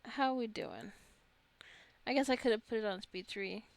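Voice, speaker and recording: A woman's voice: fairly high pitch, normal speed, no room sound. A woman giving casual gameplay commentary, rambling and thinking aloud as she plays. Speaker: gamer narrating her own gameplay.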